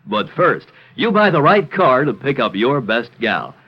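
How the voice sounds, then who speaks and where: A man's voice: medium pitch, very animated, a little slow, breathy edge. A man reading a scripted old-time radio advertisement in a folksy manner. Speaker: commercial announcer. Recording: muffled old radio recording.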